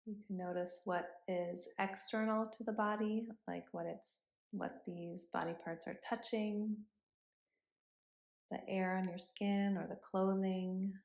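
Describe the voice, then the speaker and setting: A woman yoga instructor leading a body scan meditation in a gentle, instructive manner with pauses between cues. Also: mid-range voice, fairly even intonation, gravelly. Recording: roomy, echoing sound.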